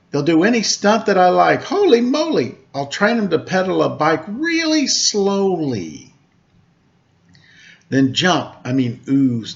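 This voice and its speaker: A man voicing an excitable, boastful schoolboy in a storybook, with a grandiose, theatrical manner. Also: fairly high pitch, gravelly, heavily acted. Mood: excited, showy. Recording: dull lo-fi sound.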